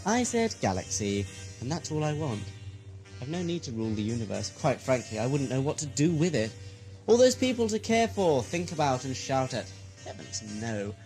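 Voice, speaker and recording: A man's voice: medium pitch, darkish tone. A man playing a grandiose, greedy, gleefully murderous villain lord in an audio sci-fi drama, with a theatrical, mocking, mock-courteous delivery. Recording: music underneath.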